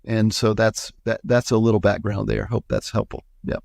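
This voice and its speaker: An older man in his sixties speaks as an interview guest with a pastor's earnestness. His delivery is thoughtful and halting, circling back over phrases. The sound is dry, with very little room. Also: fairly low pitch, little pitch movement, vocal fry, a little mellow, no room sound. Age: 60s. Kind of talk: conversational interview.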